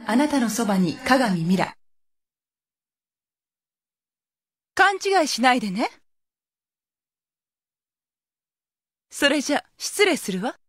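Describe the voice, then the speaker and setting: A woman playing a proud, commanding high school girl, a caring eldest sister, in a Japanese anime audio drama. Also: sing-song, moderate pace, a bit bright, husky, no echo, exaggerated voice. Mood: proud, aloof. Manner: commanding, self-assured, scolding.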